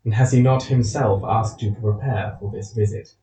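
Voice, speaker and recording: A man playing a sinister, coldly polite royal chancellor in a period audio drama, formal and deliberate. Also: on the low side, steady tone, mellow, lightly acted. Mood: smoothly insistent. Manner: formal, over-courteous, deliberate. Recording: roomy echo, distant from mic.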